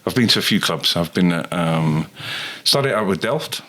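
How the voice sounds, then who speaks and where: A rugby coach talking casually as a guest, with many ums and pauses. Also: male voice, low-pitched. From England. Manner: hesitant, many ums, relaxed. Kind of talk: casual interview chat.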